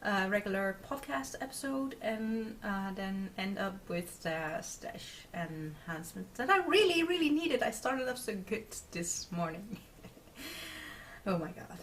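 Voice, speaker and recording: A woman's voice: medium pitch, big pitch swings, a little slow, far from the mic, slight background noise. A Dutch woman in her twenties, English not her first language, chatting casually to camera as host of her knitting podcast, with lots of fillers and little laughs. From Netherlands. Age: 20s. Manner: chatty, many filler words and giggles. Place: small room at home, indoors.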